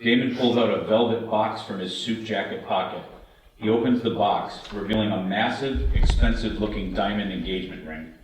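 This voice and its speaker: A man's voice: on the low side, normal speed. A man reading scripted stage directions at a table read. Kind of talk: scripted narration. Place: echoey room, away from the mic. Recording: echoey room, distant mic, faint noise.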